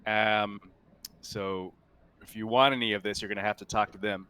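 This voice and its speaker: A man playing a cagey, businesslike salvage ship captain in a tabletop roleplay, explaining things haltingly. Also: lowish voice, fairly even intonation, bright, forceful tone, smooth. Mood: guarded. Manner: hesitant, stop-and-start explaining.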